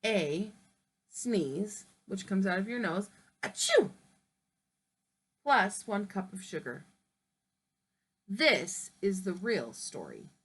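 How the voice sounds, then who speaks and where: A woman's voice: medium pitch, sing-song, slow pace, long pauses, a bit bright, slightly rough, full character voice. A woman voicing a male wolf who narrates his own version of a storybook in a chatty, persuasive way. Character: smooth-talking male wolf telling his side.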